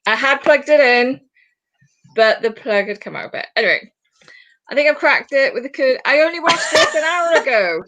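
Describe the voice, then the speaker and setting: A woman in her forties with a British accent, hosting a casual chat over a video call, rambling with quick asides. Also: sits high, expressive, fairly bright tone, rough recording. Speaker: host.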